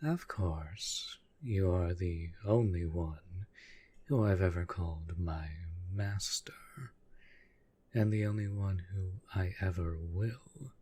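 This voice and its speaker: A man playing a proud, possessive demon in a roleplay audio drama, speaking formally to the master he serves with menacing devotion in a husky, breathy voice. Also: low-pitched, warm tone, full character voice. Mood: proud, devoted. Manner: formal, measured, menacing yet deferential.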